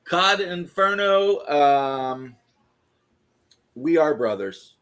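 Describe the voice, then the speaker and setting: A man's voice: fairly high pitch, expressive, drawn-out words, fairly bright tone. A man chatting on a livestream, hesitant, full of fillers and trailing off. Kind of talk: casual chat.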